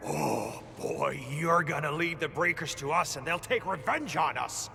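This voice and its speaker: A man's voice: on the high side, lively intonation, a little slow, creaky, pushed and bright, a little hiss or hum, full character voice. An actor playing a wary, scolding older man who leads a shelter in a video game. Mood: scolding, alarmed. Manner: suspicious, scolding. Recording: long reverb, music behind.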